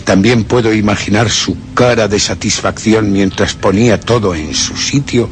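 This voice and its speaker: A man acting a brooding, vengeful gentleman who narrates a suspense radio drama in a measured, theatrical way. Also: lowish voice, soft background music, lightly acted. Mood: grim deduction. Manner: measured, theatrical, deliberate storytelling.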